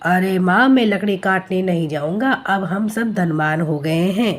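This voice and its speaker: A woman's voice: fairly low pitch, measured pace. A woman voicing an earnest, naive young male woodcutter in a cartoon story. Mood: eager. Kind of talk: Hindi cartoon story dubbing.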